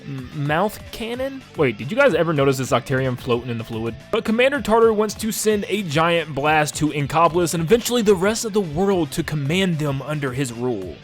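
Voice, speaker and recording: A man giving enthusiastic video game retrospective commentary as a host, with emphatic, gushing delivery and drawn-out stressed words. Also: high-pitched. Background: game soundtrack music under the voice.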